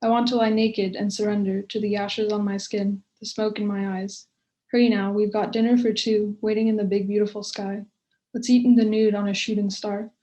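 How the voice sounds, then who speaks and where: A woman's voice: mid-range voice, flat delivery, normal speed, dark tone, low-quality recording. A woman reading a poem aloud in an understated way. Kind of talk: poetry recitation.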